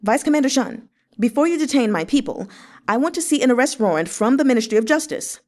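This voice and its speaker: A woman voicing an indignant male government official, forceful and accusing, in a story reading. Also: sits high, expressive, fast talker, pitched above the performer's own voice. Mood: defiant.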